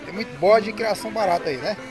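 A man's voice: high-pitched, quick pace, over music. A man hosting a casual vlog at an outdoor animal market, talking straight to his viewers. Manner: chatty, addresses viewers directly. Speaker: channel host. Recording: noisy outdoor phone recording.

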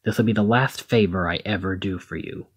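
A man acting a low, husky demigod hero. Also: a little fast. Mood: resigned, hurt. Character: brawny male demigod hero, protective, conflicted, exasperated lover. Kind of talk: ASMR roleplay, one-sided scripted dialogue.

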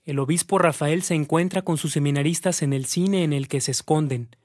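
A man's voice: on the high side, fairly quick, a little mellow, lightly acted. A man narrating a radio drama from a script. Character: male narrator of a dramatic story.

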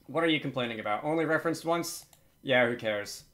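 Man, medium pitch, tutorial host, informal explanatory delivery, hesitant, thinking aloud, screen recording. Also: a little fast, a bit bright. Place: indoors at a computer, screen recording with typing.

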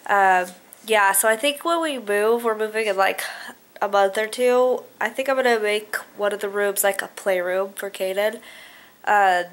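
A woman's voice: mid-range voice, normal speed. A 21-year-old woman, a stay-at-home mom, chatting casually in a home vlog with a stuffed-up nose from a cold, rambling with lots of "um" and "like". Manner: chatty, rambling, heavy on fillers like um and like. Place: living room at home, indoors. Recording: noisy home camera audio.

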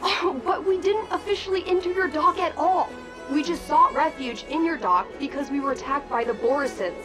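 A woman's voice: high voice, normal speed, over music, natural voice. A woman playing a haughty, indignant corporate envoy protesting in a video game.

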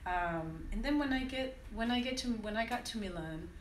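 A woman's voice: a little high, lively intonation, normal speed, slight background noise. Woman speaking in an echoey classroom. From Venezuela. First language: French. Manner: reflective, with many restarts and hesitations. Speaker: conference speaker. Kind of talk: personal talk to an audience. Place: university classroom, indoors. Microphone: standing mic, recorded by a phone from the audience. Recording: echoey room from far off.

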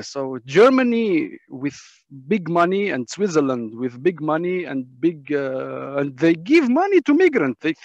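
A man who is a non-native English speaker gives informal testimony as a panel speaker, haltingly searching for words in broken English on a muffled video call. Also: on the high side, no echo. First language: not English. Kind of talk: informal spoken testimony in a webinar. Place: on a video call from a dim space.

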